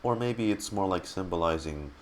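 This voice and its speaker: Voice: low-pitched, expressive, moderate pace, slight background noise. A streamer giving casual reaction commentary while playing a game.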